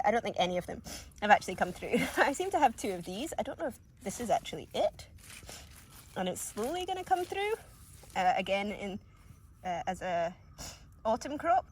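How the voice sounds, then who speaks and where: A woman's voice: mid-range voice, a little hiss or hum. A woman with a cold, a bit sniffly, chatting casually through a walk-through of her allotment garden with lots of ums and little laughs. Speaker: gardening vlogger.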